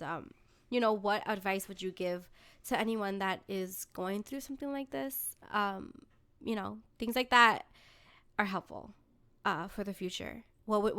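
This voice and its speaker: A woman's voice: mid-range voice, a bit bright. A woman hosting a podcast in casual chat, informal and giggly, swearing freely. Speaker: podcast host.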